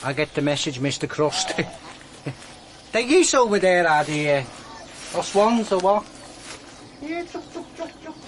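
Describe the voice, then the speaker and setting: An actor in a radio drama playing a naive working-class detective's sidekick, talking colloquially and hesitantly. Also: male voice, high-pitched, very animated, a little slow, pauses often, a little hiss or hum. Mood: curious, chatty. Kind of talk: BBC radio drama acting.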